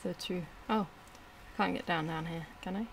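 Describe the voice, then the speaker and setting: A woman's voice: fairly low pitch, normal speed, gravelly, slight background noise. A woman giving casual, informal let's-play commentary, muttering to herself and to the game as she plays. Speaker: gaming video host.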